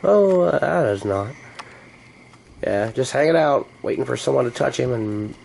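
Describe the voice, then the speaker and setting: A man with a noticeably creaky, mid-pitched voice rambles casually to his viewers. He is playful and slangy, swearing mildly as he goes. There is clear background noise in the room. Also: animated, normal speed, darkish tone, rough recording. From United States. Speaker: hobbyist vlogger. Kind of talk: rambling casual chat to camera.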